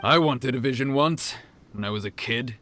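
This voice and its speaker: A man's voice: mid-range voice, moderate pace, slight background noise, natural voice. Earnest, level-headed young fighter for a game, voiced by a man; plain, sincere delivery. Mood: reflective. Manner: calm, plain-spoken, sincere. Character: earnest male tournament fighter, humble. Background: game music.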